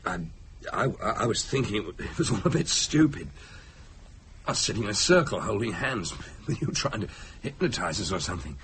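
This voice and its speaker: An actor playing a sceptical ordinary husband, shaken and hesitant, speaking plainly and haltingly in a supernatural radio drama. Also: male voice, lowish voice, sing-song, fairly bright tone, a little hiss or hum. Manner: halting, stammering, plain-spoken.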